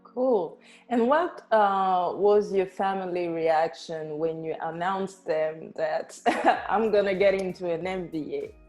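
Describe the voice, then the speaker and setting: Woman host, slow unhurried questions, mellow tone, faint music. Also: fairly low pitch. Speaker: podcast host. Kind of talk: interview questions.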